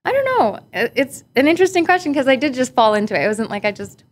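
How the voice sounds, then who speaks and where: A woman from Barbados, a guest policy analyst, talking in a relaxed, reflective way with many fillers and easy laughter in a boardroom interview. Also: sits high, fast talker. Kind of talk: casual interview conversation. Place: office boardroom.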